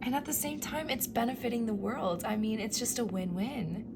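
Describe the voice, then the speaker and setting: A woman reading tarot cards for her viewers, speaking softly and affirmingly, often slipping into a whisper, her voice going from a cough. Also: fairly high pitch, expressive, normal speed, background noise. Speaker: tarot reader. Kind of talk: pick-a-card tarot reading.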